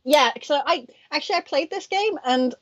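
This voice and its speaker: A woman's voice: sits high, lively intonation. Woman chatting on a mahjong stream, laughs at herself, kinda muffled lo-fi sound. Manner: chatty, self-deprecating, quick to laugh. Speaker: professional mahjong player and co-host. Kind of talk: casual stream chat. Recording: dull lo-fi stream audio.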